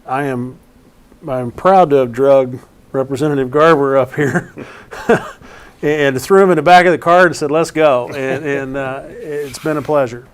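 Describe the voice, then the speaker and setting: A man speaking earnestly and haltingly in a casual panel discussion. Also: mid-range voice, fairly even intonation, clear, resonant tone.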